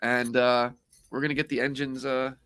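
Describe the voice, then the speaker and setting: Man co-hosting a livestream, hoarse voice, bright and forceful, rambling, upbeat and jokey. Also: mid-range voice, flat delivery, normal speed. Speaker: co-host. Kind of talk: casual livestream chat.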